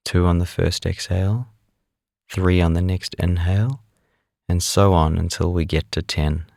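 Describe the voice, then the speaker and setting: A man leading a guided meditation as an instructor, speaking in a calm, unhurried, instructive way. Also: sits low, gravelly, no echo, studio quality.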